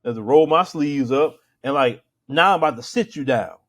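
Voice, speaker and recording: A man's voice: on the high side, expressive, a little fast, fairly bright tone. An American man hosting a show, giving casual opinion commentary in an emphatic, colloquial, conversational way.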